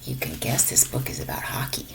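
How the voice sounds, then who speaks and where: A woman reading a children's picture book aloud in an expressive way, as if to young listeners in a lesson. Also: extremely low pitch, brisk, creaky, slight background noise, poor audio. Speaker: storybook reader for a lesson.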